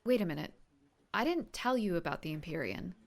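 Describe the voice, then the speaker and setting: A woman's voice: on the low side, expressive, fairly quick. A woman playing an eager, earnest young new superhero in an audio drama. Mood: amazed, grateful. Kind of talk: scripted audio drama acting.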